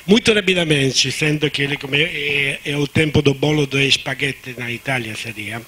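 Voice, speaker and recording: A man's voice: medium pitch, normal speed, pushed and bright, slightly rough. A man with an Italian accent, a native Italian speaker, giving unscripted remarks as a discussant on a conference panel, often restarting words and slipping into Spanish. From Italy.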